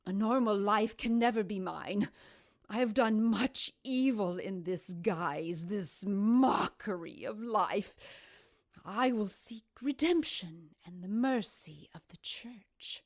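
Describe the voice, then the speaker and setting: An actress playing an anguished, centuries-old cursed snake-woman in an audio drama, speaking formally and solemnly with breathy, hissing emphasis. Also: mid-range voice, takes it slowly.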